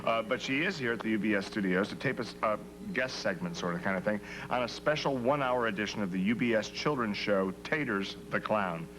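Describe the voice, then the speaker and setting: An actor playing a smarmy, dryly sarcastic talk show host who speaks in a smooth, mock-sincere way on a 1970s satirical show. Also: male voice, fairly low pitch, a little fast, hardly any pauses, close to an everyday voice. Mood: wry. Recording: noisy 1970s TV tape.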